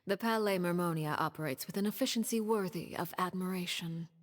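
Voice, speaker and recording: A woman playing a cold, commanding leader of a secretive organization in a video game, speaking formally and with measured, polite menace. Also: on the low side, dry recording, clean studio sound, close to an everyday voice. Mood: polite, businesslike. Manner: formal, measured, calmly threatening. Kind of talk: dramatic video game acting.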